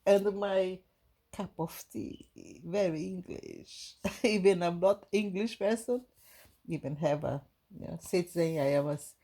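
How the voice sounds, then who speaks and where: A woman from Brazil with a Brazilian Portuguese accent, giving a gentle, halting inspirational talk to her viewers with many restarts. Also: on the low side. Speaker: spiritual vlogger.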